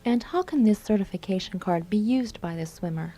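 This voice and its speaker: A woman reading scripted lines as a radio host, in a formal, measured way. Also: mid-range voice, expressive, warm tone, soft background music. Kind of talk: scripted college radio interview and announcing.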